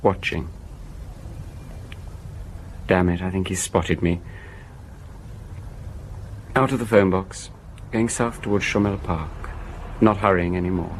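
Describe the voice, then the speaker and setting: An actor playing a young police sergeant in a radio drama, watchful and terse, reporting in clipped phrases. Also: male voice, sits low, takes it slowly, long pauses, slight background noise, poor audio. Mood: watchful, tense.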